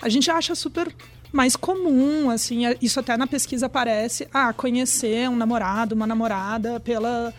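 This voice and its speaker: A woman's voice: fairly high pitch, normal speed, faint music behind. A middle-aged woman with a Brazilian accent, explaining things in a chatty, conversational way and often checking for agreement.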